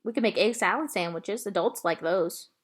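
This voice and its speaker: A woman voicing an earnest, wistful and eager young girl in a children's audiobook, expressive and pleading. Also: medium pitch, normal speed, natural voice.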